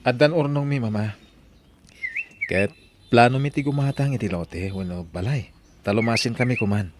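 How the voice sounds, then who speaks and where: A man's voice: mid-range voice, moderate pace, background noise, lightly acted. A man with an Ilocano accent playing a firm, resolved grown son speaking to his mother in an audio drama. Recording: prominent music behind the voice.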